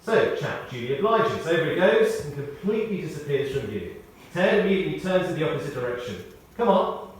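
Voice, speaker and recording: A man reading a prepared tribute aloud in short, measured phrases, with wry storytelling. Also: a little high, very animated. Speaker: tribute speaker. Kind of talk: read-out memorial tribute with anecdotes. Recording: echoey hall, distant, faint noise.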